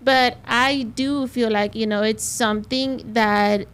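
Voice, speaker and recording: A woman's voice: a little high, fairly even intonation, slow pace, a bit bright, clear, resonant tone. A woman with a Spanish accent, a realtor talking casually on a podcast with lots of "you know" and "like" fillers.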